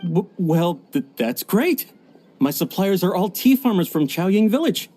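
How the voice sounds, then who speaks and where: A man's voice: high voice, big pitch swings, unhurried, warm tone, exaggerated voice. An actor playing a flustered, evasive male tea shopkeeper in a video game, stammering nervously. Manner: stammering, nervous filler-laden speech.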